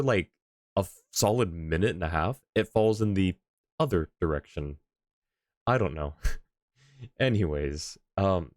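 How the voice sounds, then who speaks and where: A man's voice: low voice, dry recording, studio quality. A man streaming a game live, chatting casually and rambling as he thinks aloud. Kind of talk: casual livestream chat.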